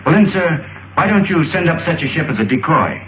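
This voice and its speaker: An actor playing an earnest, bold pilot hero in an old film serial, speaking politely and crisply. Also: male voice, a little high, sing-song, brisk, a little hiss or hum. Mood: earnest, determined. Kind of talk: 1930s film serial acting. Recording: muffled old film soundtrack, roomy, faint music.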